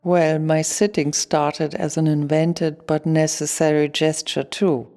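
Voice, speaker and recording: A woman playing a weary former punk singer, giving a measured, reflective monologue in a radio play. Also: deep voice, level tone, warm tone.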